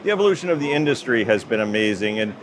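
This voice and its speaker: A middle-aged American man answering interview questions as a company executive in measured corporate talk. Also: on the low side, very animated. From United States. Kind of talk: trade show interview. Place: trade show floor. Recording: noisy show-floor ambience.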